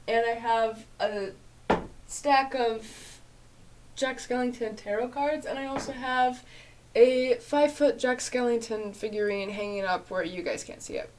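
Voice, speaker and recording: A woman's voice: fairly high pitch, a little hiss or hum. A young woman with a nasal, congested voice, chatting casually to her webcam as she answers viewer questions at home. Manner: chatty, rambling, frequent fillers and laughs. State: nasal and congested after illness. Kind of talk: casual vlog chat. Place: small bedroom at home, indoors.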